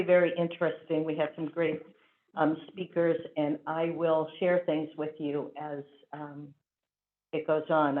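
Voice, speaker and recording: A woman chairing a school board meeting, running through procedure with frequent fillers. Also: sits low. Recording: tinny phone-like audio.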